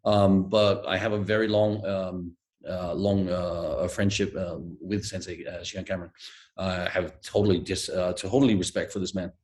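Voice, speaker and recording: A man's voice: low-pitched, little pitch movement, moderate pace, a little mellow. A middle-aged man from Denmark, a guest telling stories in a hesitant, reflective way with many fillers, heard over a video call from a room at home. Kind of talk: reflective conversational storytelling. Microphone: video call webcam.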